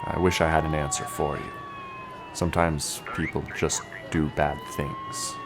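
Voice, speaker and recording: Casting: male actor as sympathetic, tired police chief, deep register, gentle delivery, horror radio play. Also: wildly swooping pitch, a little slow, noisy background, exaggerated voice. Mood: weary. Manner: gentle, sighing heavily. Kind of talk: horror audio drama acting.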